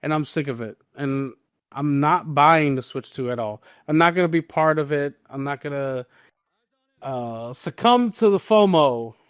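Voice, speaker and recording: A man with a voice pitched a little above average hosts a podcast. He talks casually and with firm opinions. The sound is dry, with very little room in it. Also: expressive, measured pace, clean tone, no room sound. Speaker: podcast host. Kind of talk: casual chat.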